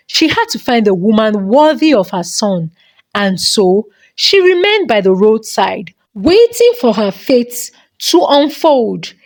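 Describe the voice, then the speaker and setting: A woman's voice: fairly high pitch, dry recording. A woman narrating a folktale as a dramatic storyteller.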